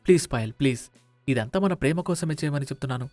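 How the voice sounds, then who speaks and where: A man's voice: mid-range voice, quick pace, a little mellow, no room sound, faint music behind, close to an everyday voice. A man playing a timid, evasive young adult son who coaxes and over-explains in a dramatised moral story. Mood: pleading. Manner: hesitant, coaxing, over-explaining.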